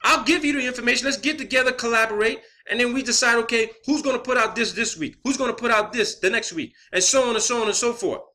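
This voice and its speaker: Man, high-pitched voice, bright forceful tone, blunt, swearing heavily, off-the-cuff online rant. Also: quick pace, hardly any pauses. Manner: profane, blunt, emphatic. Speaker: online video creator. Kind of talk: unscripted monologue rant.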